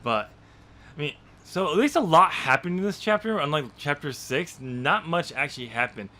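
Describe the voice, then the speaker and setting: A man's voice: a little high, lively intonation, normal speed, bright, forceful tone. A man hosting a podcast about his own novel draft, chatting casually, laughing often and rambling with lots of fillers. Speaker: podcast host and author.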